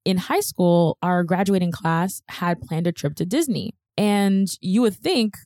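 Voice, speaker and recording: A woman's voice: lowish voice, a little slow, darkish tone, dry recording. A young woman hosting a podcast, telling her own story in a candid, chatty, self-deprecating way on a close mic. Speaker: podcast host. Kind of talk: personal storytelling monologue.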